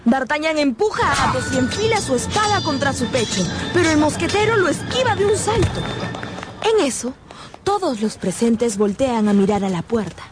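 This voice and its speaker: A woman's voice: high voice, animated, moderate pace. A woman narrator delivering scripted, dramatic narration for a radio drama. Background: dramatic music.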